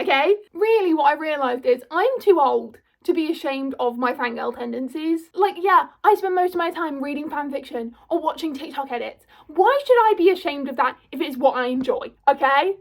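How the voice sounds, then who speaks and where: High-voiced chatty young woman. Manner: chatty, self-mocking, lots of "like" and "okay?". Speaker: vlogger. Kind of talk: casual chatty commentary.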